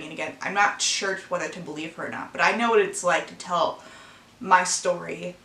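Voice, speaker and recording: A young woman in her late teens talking to camera in a personal vlog, earnest and emotional, halting as she speaks. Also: on the low side, a bit bright. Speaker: vlogger. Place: her own room. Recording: echoey room sound.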